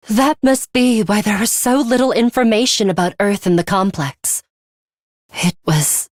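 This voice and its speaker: A woman voicing a cold, aloof young woman fighter in a video game, with a composed, formal, deadpan and disdainful manner and a bloodthirsty streak. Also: medium pitch, no room sound, clean studio sound. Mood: cool, impatient. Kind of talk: English video game voice acting.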